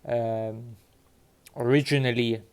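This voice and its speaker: A man from Cyprus talking casually to his viewers, halting often with fillers and restarts. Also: mid-range voice, steady tone, clean tone. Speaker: vlogger sharing DNA results. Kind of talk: casual talk to camera. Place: London.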